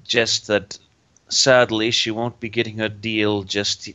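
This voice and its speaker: A man playing a tabletop roleplaying game, speaking in a measured, formal in-character way. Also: fairly low pitch, little pitch movement, pushed and bright, smooth. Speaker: player. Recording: dull lo-fi recording.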